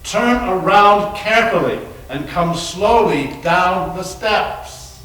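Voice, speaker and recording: Man acting mannerly worried doctor, high male voice, theatrical urgency, heavy echo, distant mic. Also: expressive, takes it slowly, a bit bright, full character voice. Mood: urgent. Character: anxious, mannerly doctor, a placating husband. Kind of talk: readers theater dramatic reading. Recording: very echoey hall, far from mic.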